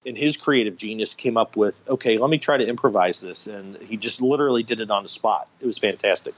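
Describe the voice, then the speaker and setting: A man's voice: lowish voice, quick pace, highs cut off. A man speaking as a radio theatre director in an interview, explaining things conversationally, step by step and with examples.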